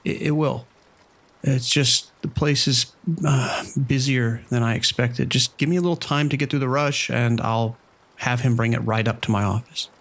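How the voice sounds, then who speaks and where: An actor playing a harried casino manager in an audio drama, anxious and hesitant, with a pleading way of talking. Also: male voice, medium pitch, steady tone, normal speed, fairly bright tone. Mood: nervous. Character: nervous, harried casino manager, male, owing money.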